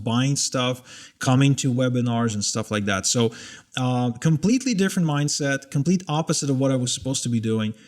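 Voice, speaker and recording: A man's voice: mid-range voice, a little mellow. A man in his thirties in a casual interview, talking candidly and poking fun at his own past mistakes. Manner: candid, self-deprecating. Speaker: interviewee sharing business mistakes. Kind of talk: casual conversation.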